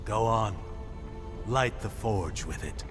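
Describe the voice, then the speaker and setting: An actor playing a good-humoured, loyal godsmith in a fantasy video game, speaking expressively and without hurry, gently chiding. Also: male voice, fairly low pitch, slow. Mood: wry, encouraging. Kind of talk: dramatic video game acting. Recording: background music.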